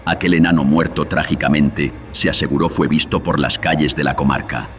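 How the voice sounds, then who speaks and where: A man's voice: extremely low pitch, fairly even intonation, fairly quick. A man narrating a horror radio serial in a solemn, dramatic storytelling manner, his voice processed with filters. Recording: faint background noise, night crickets.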